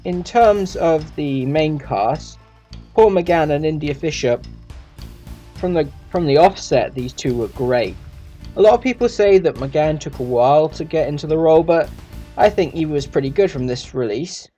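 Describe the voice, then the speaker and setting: Male video reviewer, slightly higher-pitched voice, casual commentary, light guitar music underneath. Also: expressive, moderate pace, warm tone.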